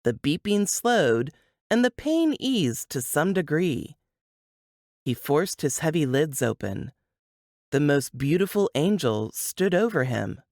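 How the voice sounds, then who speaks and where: Female audiobook narrator, unhurried and animated, scripted fiction read in a dry space. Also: low-pitched, no echo.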